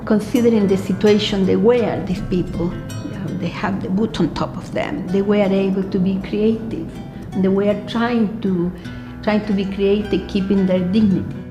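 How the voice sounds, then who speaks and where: A woman's voice: mid-range voice, steady tone, normal speed, music in the background. A Chilean-accented woman of sixty-six, a native Spanish speaker, giving personal testimony as a documentary interviewee. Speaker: documentary interviewee, former political prisoner.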